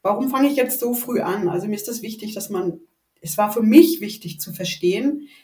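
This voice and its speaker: A woman's voice: mid-range voice, brisk, dark tone. A woman in her forties with a northern German accent, chatting hesitantly as she tells her own story as a podcast guest. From Rostock, northern Germany. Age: 40s (48). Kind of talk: personal story in a casual interview. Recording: echoey room, distant from the mic.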